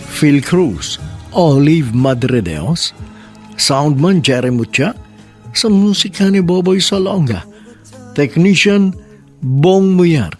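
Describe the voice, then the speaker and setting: A man narrates a radio drama in a solemn, measured way and then reads the credits. His voice sits a little high, and soft music plays behind him.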